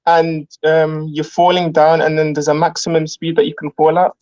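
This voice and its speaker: A man speaking casually and haltingly as a guest in an unscripted debate, through muffled call audio. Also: a little high, completely flat delivery, a little mellow, no room sound. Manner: hesitant, halting.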